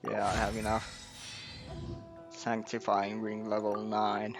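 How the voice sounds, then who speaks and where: A man's voice: on the low side, monotone, slow. A man giving casual gameplay commentary, hesitantly thinking aloud. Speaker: gamer recording gameplay. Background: soft game music.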